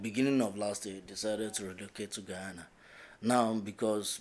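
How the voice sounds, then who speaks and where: A Nigerian man in a relaxed podcast chat among friends, with a lowish voice. He talks haltingly, often restarting his sentences. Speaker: podcast co-host. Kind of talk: casual group chat.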